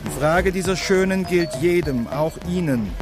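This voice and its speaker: A portentous street barker played by a man in a radio play, high-pitched and theatrical. He hawks mystery with music clearly behind him. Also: expressive, normal speed, natural voice. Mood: portentous, enticing. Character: male fairground-style barker selling mystery and intrigue. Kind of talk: radio drama acting, showman's patter.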